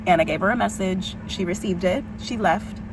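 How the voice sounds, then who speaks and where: A young woman with a big, expressive voice talks through her own story in a casual vlog. She speaks with feeling, often saying "like" and breaking into laughs. Her pitch sits in an ordinary middle range. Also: normal speed, background noise. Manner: expressive and emotional, frequent "like" fillers and laughs. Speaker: vlogger and musical theatre performer.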